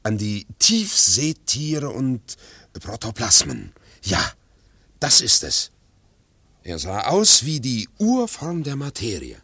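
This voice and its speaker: A pensive, awed secretary in a radio drama. His husky voice rises and falls expressively as he searches for words, in a very dry studio sound. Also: mid-range voice, big pitch swings, bright, forceful tone, no room sound, heavily acted. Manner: reflective, halting, searching for words. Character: pensive, awed former secretary recalling his uncanny master. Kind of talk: German radio play acting.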